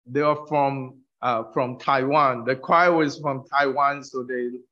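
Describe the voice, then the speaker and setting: A man whose first language is Mandarin gives a halting testimony sermon, pausing for an interpreter and using many fillers and restarts. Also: medium pitch, steady tone. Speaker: preacher sharing testimony. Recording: dull muffled recording.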